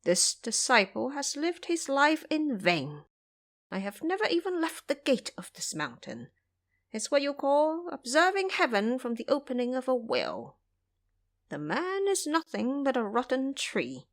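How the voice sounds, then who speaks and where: A woman's voice: sits high, very animated, measured pace, pitched above the performer's own voice, full character voice. A woman voicing an ancient, boastful old male monk who speaks with courteous, self-deprecating humility in an audio drama. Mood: humble.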